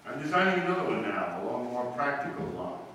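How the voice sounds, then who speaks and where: A man's voice: medium pitch, big pitch swings, quick pace, bright, forceful tone. A man acting a wry, teasing, self-assured society ex-husband in a breezy, bantering 1940s radio-play style. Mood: gently bantering. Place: live staged radio-play performance in a hall. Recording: roomy, echoey distant live stage recording, faint noise.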